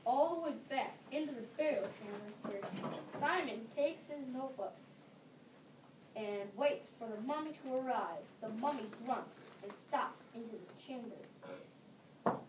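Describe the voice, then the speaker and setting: A female narrator reading a scripted story aloud for readers' theater, with halting phrasing. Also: fairly high pitch, lively intonation. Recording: distant mic, echoey room, clear background noise.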